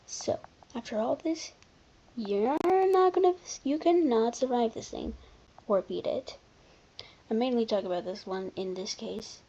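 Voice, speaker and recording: A commentator giving casual, unscripted video commentary in an informal, halting way, often backtracking. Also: medium pitch, warm tone, lo-fi sound. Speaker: video creator presenting a ranking.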